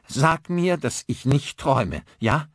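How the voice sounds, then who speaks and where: A man's voice: mid-range voice, very animated, slow, no echo, clean studio sound, voice pushed up. A man voicing a bewildered husband who speaks in an urgent, questioning way in an audiobook.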